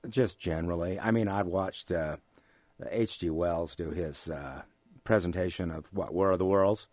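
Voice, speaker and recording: An American man being interviewed, speaking in a halting, measured way with formal, academic wording. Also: deep voice, darkish tone, no room sound. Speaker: interview guest, amateur radio drama actor. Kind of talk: relaxed interview conversation.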